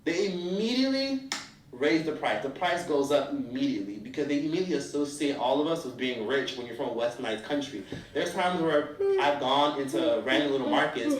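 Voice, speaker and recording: A man giving blunt, animated travel advice in a casual monologue, sometimes acting out strangers' voices. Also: medium pitch, fairly quick. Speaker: travel vlogger. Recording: roomy echo from a distance.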